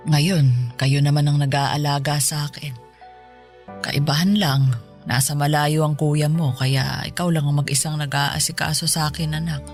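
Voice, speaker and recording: An ailing elderly mother played by a woman speaks with motherly affection and gentle teasing. She is colloquial and a little nagging, in radio drama style, over background music. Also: low-pitched, steady tone, normal speed, close to an everyday voice. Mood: reflective, tender. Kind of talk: Tagalog radio drama acting.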